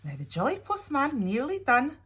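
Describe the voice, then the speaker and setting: Animated high-voiced woman reading a storybook. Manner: animated, dramatic pauses and asides to young listeners. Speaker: teacher reading a picture book aloud. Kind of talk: expressive children's storybook read-aloud.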